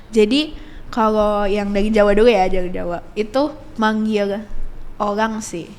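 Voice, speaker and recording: A woman's voice: medium pitch, fairly even intonation, measured pace. A teenage girl talking casually as a guest on a school podcast, chatty, with many fillers and frequent laughter. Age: teens.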